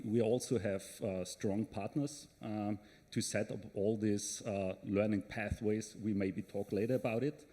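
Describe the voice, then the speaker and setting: A man with an Austrian German accent speaks English in a low, mellow voice and often pauses to look for words. A long hall reverb trails behind him. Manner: hesitant, searching for words. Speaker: panel speaker. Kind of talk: conference talk.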